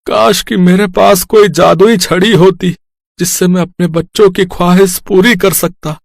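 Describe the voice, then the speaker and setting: A man voicing a poor, kind-hearted village labourer and father in an animated moral story, earnest and plaintive. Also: sits high, a little slow, gravelly, no room sound, studio quality. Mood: sorrowful.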